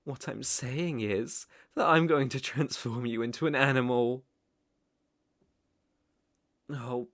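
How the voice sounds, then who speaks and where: A man's voice: mid-range voice, a bit bright, no echo, studio quality. A man with a British accent playing a cruel, arrogant, mocking evil sorcerer in an ASMR roleplay, speaking in a theatrical, condescending way. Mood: scornful, condescending. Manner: slow, theatrical, menacing, condescending. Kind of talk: ASMR roleplay, dramatic monologue to listener.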